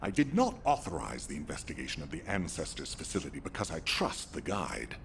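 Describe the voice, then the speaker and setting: A man's voice: rumbling low, wildly swooping pitch, a little fast, vocal fry, a little hiss or hum, heavily acted. A man playing a stern, distrustful commander with a temper in a dramatic video game scene. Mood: grave, restrained. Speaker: military commander.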